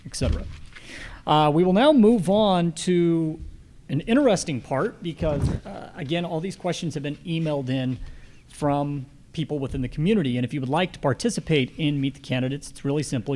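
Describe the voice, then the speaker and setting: A man's voice: fairly high pitch, darkish tone, slight background noise. An American man speaking as a radio host and moderator at a live candidate forum.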